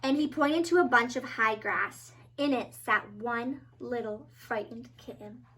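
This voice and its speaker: A woman narrating a children's storybook as a teacher, with expressive, dramatic emphasis. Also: a little high, measured pace.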